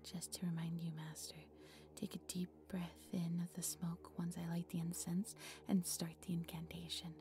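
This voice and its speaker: A woman acting a devoted, breathy cult priestess. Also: on the low side, little pitch movement, normal speed, natural voice. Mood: hushed, controlled. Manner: breathy, reverent, theatrical incantation with nervous stammers. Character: devoted, obsessive young female cult leader worshipping dark master. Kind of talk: scripted roleplay audio drama.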